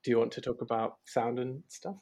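A male musician talks loosely through his band's influences, stopping often on ums and likes. His voice has a gravelly edge with a husky, breathy grain. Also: mid-range voice, brisk, warm tone. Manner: hesitant, many ums and likes. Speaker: band member, guitarist. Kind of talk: casual interview chat.

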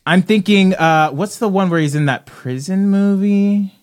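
A man's voice: high voice, a little slow, darkish tone. A young man co-hosting a podcast with casual film banter, opinionated, thinking aloud and swearing freely. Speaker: podcast co-host. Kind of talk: casual banter about films.

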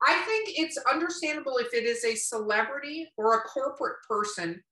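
Bright-voiced, blunt woman in an echoey room. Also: high voice, animated, fairly quick. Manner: blunt, emphatic, swears freely. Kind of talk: casual podcast chat. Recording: roomy echo, distant from mic.

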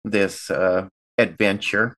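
A man's voice: fairly low pitch, fairly even intonation, slow pace, fairly bright tone. A man playing a tabletop roleplaying game, talking casually and partly in character.

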